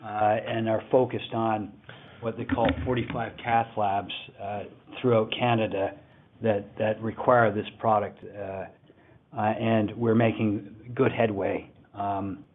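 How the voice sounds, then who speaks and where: A male company executive giving halting, measured, unscripted answers on an investor call. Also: on the low side, level tone. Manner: halting, measured, frequent pauses. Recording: tinny phone line.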